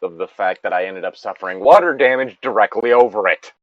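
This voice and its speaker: A man's voice: fairly low pitch, fairly quick, dry recording. Man, casual co-host, exasperated sweary commentary, reading aloud, dry and muffled lo-fi recording. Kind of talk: casual reaction reading with tangents. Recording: dull lo-fi microphone.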